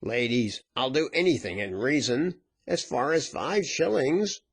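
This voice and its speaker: A man's voice: on the low side, very animated, measured pace, creaky, fairly bright tone, breathy edge, exaggerated voice. Man playing a cowed, pleading naval lieutenant. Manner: pleading, stammering, reluctant. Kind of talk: dramatized storybook reading.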